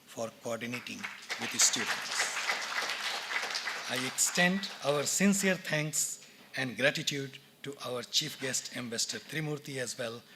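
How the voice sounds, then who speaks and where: A man with an Indian English accent, giving a measured, formal read speech at a university convocation from a podium in a lecture theatre. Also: medium pitch, very noisy. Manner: measured, formal, reads names and titles deliberately. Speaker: department head speaking at a convocation.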